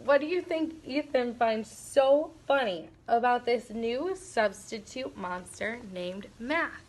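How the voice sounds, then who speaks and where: A woman reading a storybook aloud to young children as a teacher would, expressively and deliberately. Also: on the high side, expressive.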